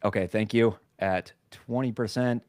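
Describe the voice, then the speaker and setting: A man co-hosting a podcast, telling stories in an animated way with lots of "like". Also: on the low side, unhurried, dry recording, studio quality. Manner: animated storytelling, full of "like". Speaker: podcast co-host. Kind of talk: casual panel chat.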